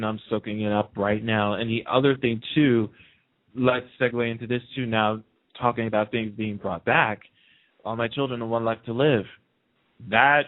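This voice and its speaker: A man hosting a talk show, chatting casually and giving opinions emphatically, often repeating a point for emphasis. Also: medium pitch. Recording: narrow, muffled phone-like sound.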